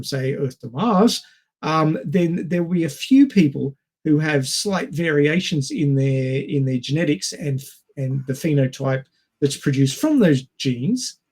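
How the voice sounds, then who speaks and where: A man's voice: fairly high pitch, darkish tone. A man in a casual discussion, explaining things step by step in a teacherly way. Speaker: podcast panellist.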